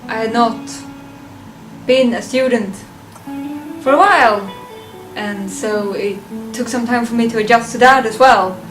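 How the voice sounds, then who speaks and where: A woman's voice: a little high, unhurried, pauses often, music in the background, low-quality recording. A young woman talking casually and chattily to her viewers as a video reviewer, giving commentary on a list. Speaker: YouTube reviewer.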